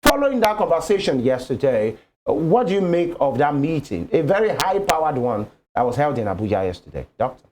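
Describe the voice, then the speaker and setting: A man's voice: on the high side, sing-song, a little fast, a little mellow, slight background noise. A man hosting a live morning TV talk show, chiming in and probing with questions. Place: television studio.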